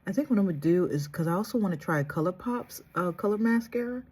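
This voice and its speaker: A woman vlogger chatting casually through a makeup tutorial, soft-spoken and trying to project with a sore, strained throat. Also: lowish voice, animated, fast talker, breathy edge. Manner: chatty, addresses viewers directly, trails off and restarts. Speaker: beauty vlogger.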